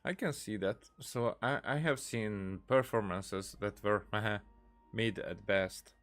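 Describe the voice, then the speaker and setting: A man commenting casually on a game he is playing, thinking aloud in halting, restarting sentences. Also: lowish voice, fairly bright tone, soft background music. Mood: musing. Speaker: streamer. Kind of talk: let's play commentary.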